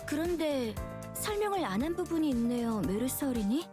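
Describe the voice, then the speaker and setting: An actress playing an innocent, kindly young woman teacher in a game, soft-spoken, with music behind. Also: sits high, big pitch swings, rapid-fire. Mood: kindly, curious.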